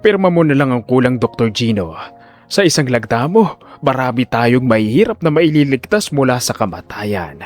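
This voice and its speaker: An older hospital director, played by a male narrator, speaks with smug, self-important benevolence in an audio drama. His pitch is medium and his manner avuncular, with faint music underneath. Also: big pitch swings, normal speed, natural voice. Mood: smooth, persuasive. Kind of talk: audio drama villain dialogue.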